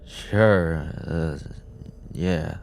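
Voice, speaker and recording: A man's voice: extremely low pitch, drawn-out words, vocal fry, a little mellow, slight background noise, heavily acted. A man voicing an uneasy, defensive police officer in an audiobook, hesitant and brusque, covering dread with humor. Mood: shaky reassurance. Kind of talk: audiobook character dialogue.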